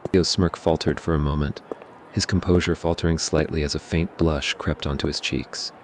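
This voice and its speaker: A man narrating a scripted ASMR roleplay story in a measured, even way. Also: very deep voice, background noise.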